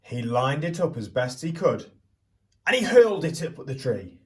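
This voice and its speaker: A man reading a picture book aloud to young children as a teacher would, theatrically, pausing to put questions to them. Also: medium pitch, big pitch swings, far from the mic. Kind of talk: expressive children's storybook reading with questions to listeners.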